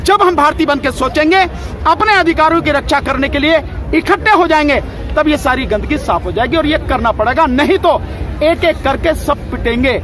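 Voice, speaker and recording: A man giving a forceful, rhetorical interview at an outdoor protest, repeating his points for emphasis. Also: extremely high pitch, expressive, moderate pace. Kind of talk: impassioned street interview. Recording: loud crowd outdoors.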